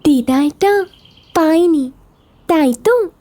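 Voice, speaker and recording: Actress as gentle grieving granddaughter, very high youthful voice, slow questioning delivery. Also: female voice, animated. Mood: sad, questioning. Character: gentle grieving young granddaughter asking about her grandmother. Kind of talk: audio story acting.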